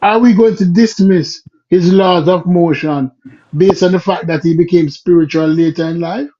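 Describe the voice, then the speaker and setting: A man's voice: high-pitched, moderate pace, dark tone. A man arguing a point in a podcast discussion, firing off rhetorical questions in a lecturing way. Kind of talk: argumentative discussion. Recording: dull lo-fi audio.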